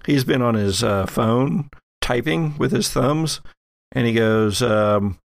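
A man narrating as game master of a role-playing podcast, halting and thinking aloud as he goes. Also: medium pitch, gravelly, no room sound, studio quality.

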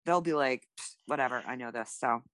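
A woman's voice: extremely low pitch, a little fast, no echo. A woman chatting casually as a podcast co-host, playful, irreverent and self-deprecating. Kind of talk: casual banter on a tarot quiz podcast.

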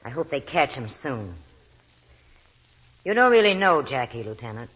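Raspy, lively, soft-hearted nightclub type. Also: male voice, medium pitch, normal speed, highs cut off. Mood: fond, wry. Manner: colloquial, confiding. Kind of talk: 1940s radio drama acting.

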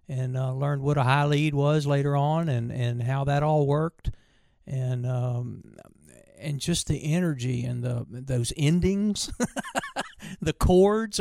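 A man's voice: fairly high pitch, vocal fry, a little mellow, no echo, studio quality. A man, a musician telling stories in a folksy, rambling way with many restarts. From Virginia, USA. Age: 60s. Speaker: bluegrass musician guest. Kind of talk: casual storytelling interview.

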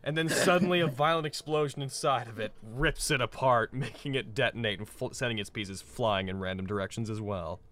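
A man narrating a tabletop game as game master, halting and conversational, with dramatic descriptions. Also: medium pitch, a bit bright.

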